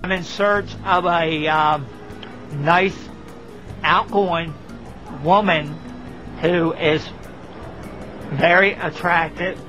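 A man speaking in a video personal ad, halting and hesitant, with long pauses between words. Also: on the high side, steady tone, pushed and bright, soft background music. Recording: dull lo-fi archive video clip.

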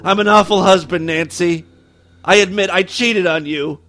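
A man's voice: high-pitched, moderate pace, fairly bright tone, faint music behind. A man voicing a manic, lecherous husband in a theatrical, grandiose comic story. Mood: confessional, desperate.

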